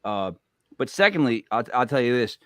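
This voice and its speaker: A man's voice: mid-range voice, normal speed, no echo. A man hosting a podcast, chatting casually and telling rambling stories with frequent laughs. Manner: laughing, rambling, animated storyteller. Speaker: podcast host. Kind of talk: casual chat.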